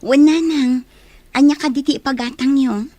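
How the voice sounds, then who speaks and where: A woman's voice: high-pitched, steady tone, normal speed, warm tone, no room sound, close to an everyday voice. A woman playing a dutiful, outspoken young daughter who works as a maid, speaking candidly in an audio drama. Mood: caring, earnest. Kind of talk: Ilocano radio-style drama.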